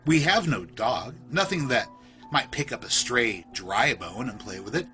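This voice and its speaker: A man narrates a creepy tale in a bright, clear-cut voice that rises and falls with a lot of expression. His delivery is literary and suspenseful, like a scripted horror podcast, with faint music behind him. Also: mid-range voice, expressive. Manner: literary, measured, suspenseful storytelling. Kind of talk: scripted first-person horror story narration.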